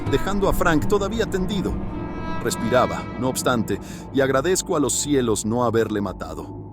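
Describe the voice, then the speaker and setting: A man voicing an anguished, horrified man in a horror audiobook, confessing a killing in a hoarse, intense, dramatic way. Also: medium pitch, natural voice. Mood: haunted, grim.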